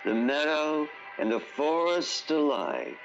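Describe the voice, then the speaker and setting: A man narrating a fantasy tale in radio-theatre style, grandiose and deliberate, with long pauses. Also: on the high side, sing-song, gravelly, highs cut off. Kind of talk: dramatic scripted fantasy storytelling, old radio theatre. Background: music under the narration.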